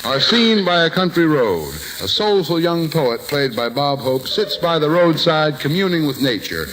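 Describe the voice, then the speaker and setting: Lively radio announcer, raised pitch. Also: male voice, normal speed. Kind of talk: 1940s radio scripted announcing and sketch narration. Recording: noisy 1940s radio broadcast.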